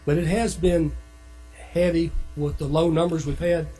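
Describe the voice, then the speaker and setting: A man's voice: on the high side, level tone, normal speed, mellow, faint music behind, rough recording. A man speaking as a union representative, answering plainly, politely and matter-of-factly at a public meeting. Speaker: police dispatchers' union representative. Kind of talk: plain-spoken testimony.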